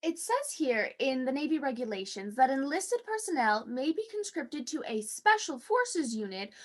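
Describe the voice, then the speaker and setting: A woman playing a perky, literal-minded co-host in a 1940s-style radio show. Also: sits high.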